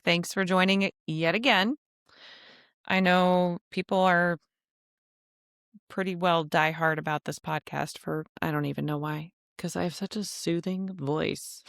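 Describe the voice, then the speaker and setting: Woman podcast host, lowish smooth voice, dry close mic, chatty with joking asides. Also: fairly bright tone, dry recording. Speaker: podcast host and travel agency owner. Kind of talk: casual solo podcast monologue.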